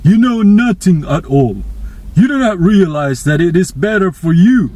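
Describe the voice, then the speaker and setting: Man playing a haughty high priest, raised pitch. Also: expressive, measured pace, dark tone. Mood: scornful. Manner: haughty, declamatory. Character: scornful, calculating high priest in a Bible story.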